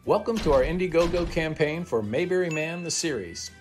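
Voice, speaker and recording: A man presenting a campaign in scripted promotional narration, measured and earnest. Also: on the high side, expressive, soft background music. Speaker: campaign presenter and film maker.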